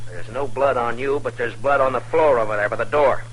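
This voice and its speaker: A man's voice: mid-range voice, brisk, fairly bright tone, close to an everyday voice. An actor playing a stern, unflinching American lawman in an old-time Western radio drama, terse and commanding as he questions people. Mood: accusing. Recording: noisy vintage radio recording.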